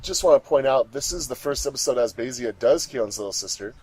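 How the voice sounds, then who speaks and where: A man with a middle-pitched voice hosts in a casual style. His delivery is upbeat and announcer-like, with emphatic stresses on key words. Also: expressive, brisk, darkish tone, husky. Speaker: host of a fandub commentary track. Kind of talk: casual introductions and chat.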